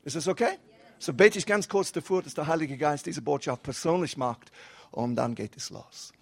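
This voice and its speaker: Young adult male guest pastor, non-native speaker with a foreign accent, high voice, casual preaching style. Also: normal speed, vocal fry, husky. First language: not German. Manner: casual, mixes English words, rising and falling emphasis. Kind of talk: sermon.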